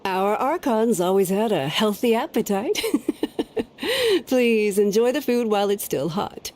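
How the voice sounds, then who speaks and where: A woman's voice: medium pitch, very animated. An actress playing a kindly woman serving food to guests, in a video game. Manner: warm, unhurried. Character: kindly female host serving breakfast.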